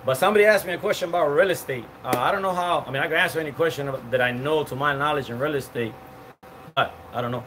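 Man in his forties, live-stream host, rambling chat, greets viewers by name, fairly high voice, faint hiss, outdoor patio. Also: quick pace, a bit bright. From Cuban. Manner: chatty, rambling, many fillers and restarts, greets viewers by name. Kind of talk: casual live-stream chat reading comments. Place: outdoor patio with palm trees.

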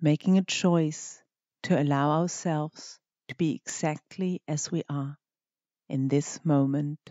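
A meditation guide speaking scripted instructions in a gentle, measured manner with deliberate pauses. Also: medium pitch, steady tone, no echo, highs cut off.

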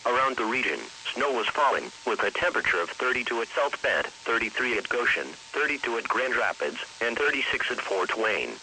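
A man's voice: medium pitch, expressive, brisk, few pauses, bright, forceful tone, rough recording. A man's synthetic voice reading an automated weather conditions report as a radio announcer. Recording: hissy weather radio broadcast.

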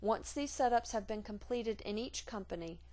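Woman doing software training voiceover, formal and measured, medium pitch, reading off a script. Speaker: software tutorial narrator. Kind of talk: scripted instructional narration.

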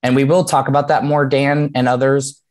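A man's voice: medium pitch, flat delivery, normal speed, a little mellow. Man in his thirties teaching over a video call. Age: 30s. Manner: conversational, explanatory, with frequent "um" and "right?". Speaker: mortgage lender teaching a webinar. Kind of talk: casual online seminar.

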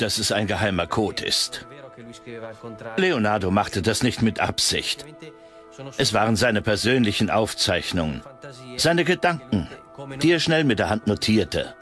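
A man's voice: on the low side, lively intonation, a little slow, long pauses, fairly bright tone, faint music behind. A man giving an expert's interview statements in a documentary voice-over.

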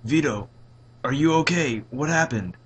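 Guy acting a worried dad, lowish voice, earnest, storytime drama. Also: measured pace, fairly bright tone, slightly rough. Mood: concerned. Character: concerned father, firm but gentle, anxious.

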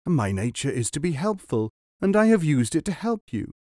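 A helpful tortoise, voiced by a male storyteller, cries out at being wronged, its voice lifted and louder. The sound is close and dry. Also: on the high side, big pitch swings, normal speed, dry recording, voice pushed up. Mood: aggrieved. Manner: complaining, wronged.

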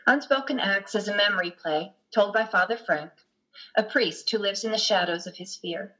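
A woman's voice: medium pitch, moderate pace, bright, forceful tone, muffled recording. A middle-aged woman reading scripted narration and stage directions for a play over a video call. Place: lamp-lit home room, video call. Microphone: computer mic on video call.

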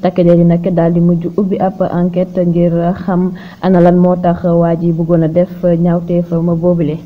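Female news presenter, Senegalese accent. Lowish voice, hesitant read, noisy recording. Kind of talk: news narration. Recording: dull lo-fi sound with clear noise.

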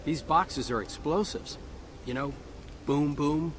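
A wisecracking soldier in a video game. His voice is a little high, casual and wry, with faint noise behind it. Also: slow pace, a bit bright. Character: wisecracking human soldier turncoat in alien body. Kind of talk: video game cutscene acting.

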